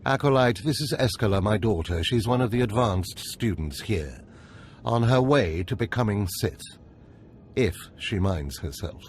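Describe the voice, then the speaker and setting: Older sith overseer for a game, lowish voice, stern n scheming, measured orders, noisy ambience behind. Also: male voice, animated. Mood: stern, controlled. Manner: commanding, measured, formal. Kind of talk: video game voice acting.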